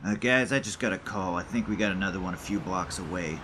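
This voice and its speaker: A dry, matter-of-fact investigator. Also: male voice, deep voice, fast, pushed and bright, a little hiss or hum. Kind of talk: audio drama acting.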